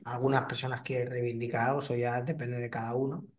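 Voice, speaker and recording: A man's voice: medium pitch, level tone, fast talker. Man with restarts, roomy and distant. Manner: hesitant, many restarts and fillers. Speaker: host and fitness trainer. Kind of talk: casual interview.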